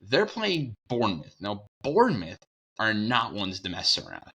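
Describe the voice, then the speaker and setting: A young man with a hoarse voice from a cold, hosting a casual sports preview show, rambling and correcting himself as he goes. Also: lowish voice, wildly swooping pitch, unhurried, fairly bright tone. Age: young adult. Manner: rambling, self-correcting, upbeat.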